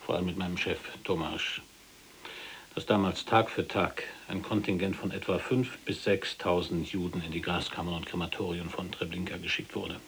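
An actor playing an earnest resistance fighter in prison in an audio drama, speaking firmly and articulately with grave, accusing factuality. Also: male voice, low-pitched, fairly even intonation, normal speed, a bit bright, a little hiss or hum. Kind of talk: audio drama, testimony-like narration.

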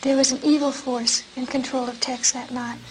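A woman in a reflective interview, recalling events haltingly as she searches for words. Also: sits high, flat delivery, a little mellow, faint music behind, low-quality recording.

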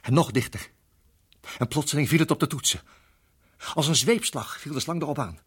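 An actor playing a tense, protective husband in a radio play, telling a dramatic story in measured, suspenseful phrases that drop to hushed tones. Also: male voice, fairly high pitch, breathy edge. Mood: urgent. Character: Bob, husband recounting a deadly snake ordeal, protective, tense. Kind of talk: radio play acting, dramatic first-person narration.

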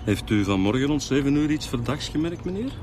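An actor playing a polite, methodical police detective with a Flemish accent in a radio drama, matter-of-fact in manner. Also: male voice, mid-range voice, animated, fairly quick, background noise, close to an everyday voice. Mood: polite questioning.

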